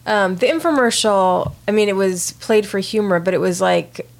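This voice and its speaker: A woman co-hosting a podcast in casual chat, her voice a little raspy from allergies. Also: mid-range voice, normal speed. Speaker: podcast co-host.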